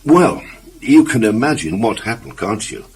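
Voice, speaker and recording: Husky, animated male storyteller. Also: fairly low pitch, normal speed. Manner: expressive, emphatic, addresses the listener. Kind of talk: scripted children's story narration.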